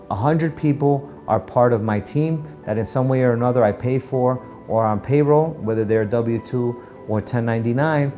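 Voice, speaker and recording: A man's voice: mid-range voice, warm tone. A man giving informal business advice as a practice owner on a video channel, emphatic and often checking in with rhetorical questions.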